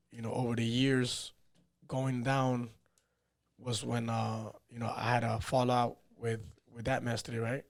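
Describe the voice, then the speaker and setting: Relaxed peruvian-born guy in his 30s, rambling through stories, average pitch. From Peru. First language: Spanish. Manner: laid-back, rambling, peppered with "you know" and "man". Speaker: podcast guest, fighter. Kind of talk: casual storytelling interview.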